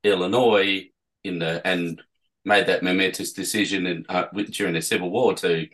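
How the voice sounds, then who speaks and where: Interview guest: middle-aged Australian man, low register, informal, feels his way through sentences in trailing phrases. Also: steady tone, normal speed, fairly bright tone, low-quality recording. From Perth, Western Australia. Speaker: interviewee, tour guide. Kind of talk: casual conversational interview.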